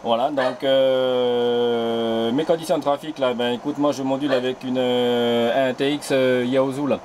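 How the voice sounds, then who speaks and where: A man's voice: medium pitch, level tone, a little slow, darkish tone, a little hiss or hum. A man on an island chatting over amateur radio, friendly, tagging "là" after nearly every phrase. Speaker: radio amateur filming the contact. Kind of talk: casual radio contact chat. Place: home radio station on an island. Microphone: old handheld mic on a transceiver.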